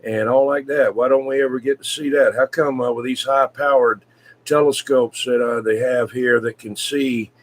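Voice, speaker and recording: A man in casual CB radio chat, talking in a folksy, rambling, hesitant way with frequent pauses. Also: mid-range voice, fairly even intonation, a little hiss or hum. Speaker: CB radio hobbyist, video maker.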